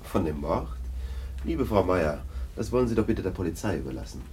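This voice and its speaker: A low-pitched, officious police lieutenant in a radio drama. He is brusque and skeptical, with a sardonic edge. Some noise sits behind the voice. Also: big pitch swings, a little fast, darkish tone. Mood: stern, dismissive. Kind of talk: German radio play acting. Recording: noisy radio drama recording.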